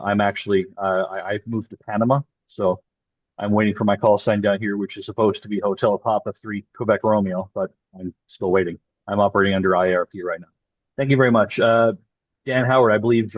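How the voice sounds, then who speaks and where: A man from Southern Ontario moderating an online meeting, calling on speakers in a brisk, friendly way. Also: lowish voice, fairly even intonation, dry recording. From Southern Ontario, Canada. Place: home in Panama, video call. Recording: dull, dry video call audio.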